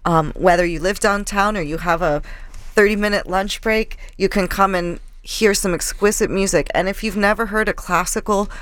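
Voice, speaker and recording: Lady promoting a concert, friendly, smooth clear voice, dry sound. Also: mid-range voice, fairly even intonation, moderate pace, a bit bright, dry recording. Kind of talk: casual interview talk.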